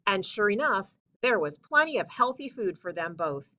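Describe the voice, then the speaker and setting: Animated, bright-voiced woman reading a story. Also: medium pitch, normal speed, dull audio. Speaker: story reader. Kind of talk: children's storybook read-aloud narration.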